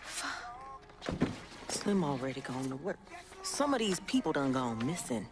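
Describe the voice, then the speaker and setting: A woman's voice: sits low, sing-song, unhurried. An actress playing a tough, defiant young revolutionary woman with a Southern US accent, blunt and streetwise, in a video game. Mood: wary. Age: young adult. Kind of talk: video game cutscene acting.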